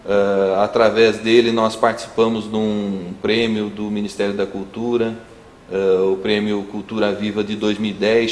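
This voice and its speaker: A man's voice: on the low side, darkish tone. A Brazilian man giving a formal, somewhat hesitant testimonial on behalf of an organization. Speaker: community broadcasting representative. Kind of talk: spoken testimonial. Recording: echoey room, faint noise.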